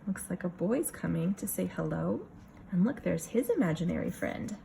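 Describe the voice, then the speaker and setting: Woman reading a kids storybook, takes her time, bit of fry in the voice, chatty asides, slight noise behind. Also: fairly low pitch. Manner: expressive, pausing to comment and wonder aloud. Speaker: kindergarten teacher reading a picture book aloud. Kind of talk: storybook read-aloud with casual asides to children.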